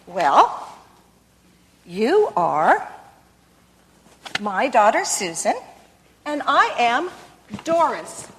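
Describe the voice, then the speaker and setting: A coaxing mother , played by a woman on stage, explains things patiently to her child. The voice is high and very lively in pitch. A long hall reverb hangs behind her. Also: extremely slow, pauses often, slight background noise, full character voice. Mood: explaining. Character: mother explaining a radio show to her daughter. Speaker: actress in a framing skit. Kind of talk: amateur stage skit acting.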